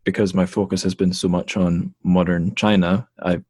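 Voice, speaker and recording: A man from the United Kingdom hosting a podcast interview in a casual way, pausing often with fillers and restarts. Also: sits low, little pitch movement, warm tone, husky, dry recording, studio quality. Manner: hesitant, many fillers and restarts. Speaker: podcast host.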